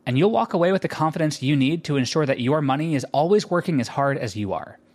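A man's voice: fairly high pitch, expressive, fast talker, darkish tone. A man reading a scripted promotional spot as an announcer for a podcast.

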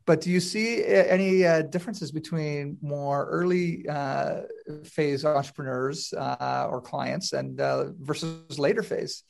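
Man, gravelly voice, slightly high pitch, friendly podcast co-host. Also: unhurried, a little mellow, faint music behind. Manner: friendly, hesitant with fillers. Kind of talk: casual podcast conversation.

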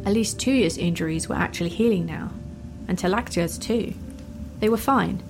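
A woman narrating a fantasy story in a measured, wry storytelling manner. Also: on the low side, vocal fry. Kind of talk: dramatic fan fiction narration. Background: music.

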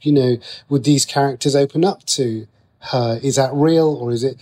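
A man's voice: medium pitch, moderate pace, warm tone. A man answering interview questions as a writer, talking casually and breaking into his own sentences as he explains. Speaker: guest, TV and radio writer.

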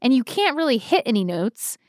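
Higher-pitched woman chatting casually. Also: a little fast, dry recording, clean studio sound. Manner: animated, irreverent, frequent "like" filler. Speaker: podcast co-host. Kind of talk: casual chat, storytelling.

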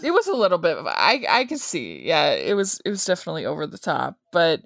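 Chatty woman with a lower voice. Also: huge pitch swings, a little fast, gravelly, fairly bright tone, dry recording, clean studio sound. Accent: mixed American, hints of East Coast and Utah. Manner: chatty, laughs often, trailing restarts. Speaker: podcast co-host. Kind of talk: casual chat recapping a sitcom.

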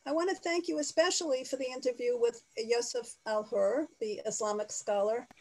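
Woman on a video call, somewhat high voice, earnest and thankful, a few ums. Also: moderate pace, a loud crowd, low-quality recording. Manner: earnest, appreciative, a bit hesitant. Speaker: caller. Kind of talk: casual chat.

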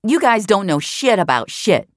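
Actress doing mouthy punk kid, defensive, sweary slang, raised lively voice, dry studio sound. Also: female voice, medium pitch, moderate pace, dry recording, pitched above the performer's own voice, lightly acted. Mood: defiant, bored. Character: bratty defensive punk boy with faux hawk.

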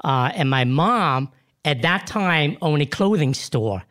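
Emotional, halting man in his fifties. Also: on the high side, animated, fairly bright tone. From Vietnam. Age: 50s. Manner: emotional, hesitant, many fillers and restarts. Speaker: guest actor. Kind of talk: interview answers.

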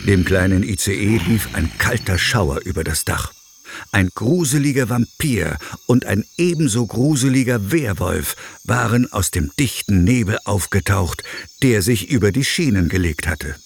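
A man's voice: on the low side, lively intonation, moderate pace, a little hiss or hum. A man narrating a children's audio drama as a dramatic, suspenseful storyteller.

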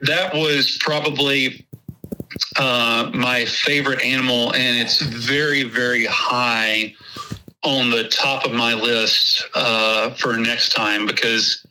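Texan guy, unhurried and chatty, real bright tone, bit of hiss behind. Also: medium pitch, steady tone, rough recording. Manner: laughs often, many ums and restarts. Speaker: guest. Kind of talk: casual interview chat.

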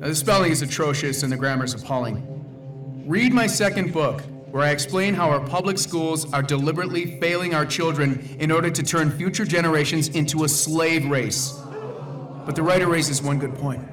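An actor playing a paranoid, self-important conspiracy theorist in a radio drama, speaking with emphatic indignation. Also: male voice, a little high, moderate pace, music in the background, natural voice. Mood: preachy, indignant.

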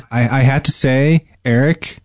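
Male podcast co-host, mid-pitched, informal and hesitant, recorded dry. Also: measured pace, no echo. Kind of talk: casual gaming podcast chat.

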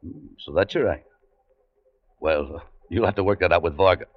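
A man's voice: deep voice, normal speed. A man acting a tough, wry American adventurer in a 1940s radio drama, with a laconic manner. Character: tough, wry American male adventurer hunting buried gold. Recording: dull vintage 1940s radio recording.

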